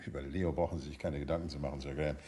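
An actor playing a courteous, composed middle-aged detective in a radio crime drama, speaking in a measured, conversational way. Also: male voice, rumbling low, steady tone. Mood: polite, guarded.

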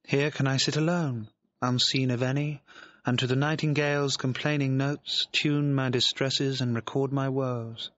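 A lonely, lovelorn young gentleman in exile, musing in classical verse. His voice is mid-pitched and husky. The recording is dry and dull. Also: dry recording. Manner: reflective, declamatory. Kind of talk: classical Shakespearean soliloquy acting.

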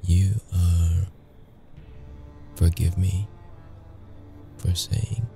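Man acting a slow, gravelly, brooding vampire. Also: little pitch movement, faint music behind, heavily acted. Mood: coaxing, intimate. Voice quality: gravelly, low, mellow. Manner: slow, halting, intimate delivery. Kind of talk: ASMR audio roleplay acting.

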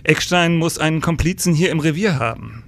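An actor playing a weary, commanding middle-aged police chief in a radio crime drama, brisk with pensive hesitations. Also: male voice, fairly high pitch, lively intonation, gravelly, a bit bright, slight background noise.

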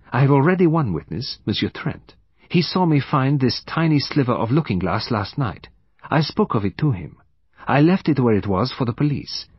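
A husky, very animated older detective, acted by a male audiobook reader. He is courteous, probing and sly, choosing each formal, foreign-phrased word with deliberate care. The sound is close and dry. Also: medium pitch, no room sound, lo-fi sound, voice pushed up. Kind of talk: audiobook character voice.

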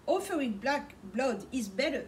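A middle-aged woman, a native Swahili speaker, preaches with passion and repeats her key words. Her voice sits fairly high. She is picked up by a camera mic across a small study, with a faint hum in the background. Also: big pitch swings, normal speed. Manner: impassioned, repeats words for emphasis, gestures a lot. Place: small home study with bookshelves, indoors. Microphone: camera mic from across the room. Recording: distant mic with faint room noise.

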